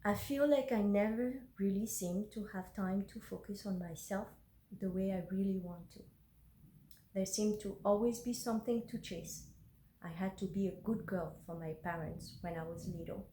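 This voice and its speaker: A woman's voice: mid-range voice, moderate pace, mellow, slight background noise. A woman whose first language is French, an intuitive energy worker who speaks hesitantly with many ums and uhs in a personal vlog and then reads her journal aloud. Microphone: phone. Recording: roomy phone recording.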